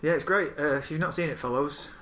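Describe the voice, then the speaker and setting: Bright-voiced man in casual film chat. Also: fairly high pitch, quick pace. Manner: informal, sweary, quotes dialogue as he tells stories.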